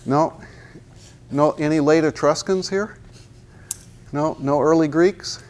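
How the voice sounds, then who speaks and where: A man's voice: fairly high pitch, takes it slowly, a little mellow. A man in his sixties giving a university physics lecture in a large hall, wearing a lapel mic, joking and asking the class rhetorical questions as he walks to the chalkboard. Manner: walks and points at the chalkboard while talking.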